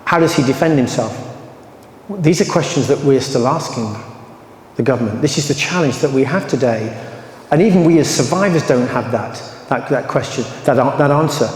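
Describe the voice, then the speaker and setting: A man's voice: medium pitch, normal speed, slight background noise. A man with an English accent speaking emphatically as a survivor advocate at a public meeting, his voice growing heated. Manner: emphatic, rising to anger, then apologetic. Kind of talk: impassioned public talk. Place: large echoey hall. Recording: roomy echoey hall with reverb.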